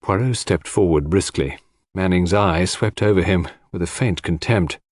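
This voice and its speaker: A man narrating a scripted audiobook story in an expressive storytelling style. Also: low-pitched, normal speed, no echo, studio quality.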